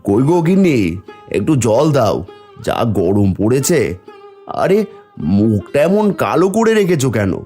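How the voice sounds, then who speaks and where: A poor, anxious village father from a cartoon. He is gentle and pleading, with a mid-pitched, highly animated delivery over soft music. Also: unhurried, long pauses. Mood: tired, affectionate. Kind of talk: Bengali cartoon dialogue.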